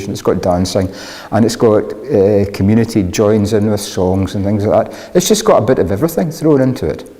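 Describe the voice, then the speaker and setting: Interviewee: man, lowish mellow voice, explanatory conversational delivery, reverberant hall.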